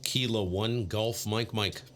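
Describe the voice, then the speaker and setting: A man's voice: lowish voice, steady tone, takes it slowly. A man live-streaming amateur radio, chatting casually and muttering to himself between call-outs. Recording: clear background radio noise.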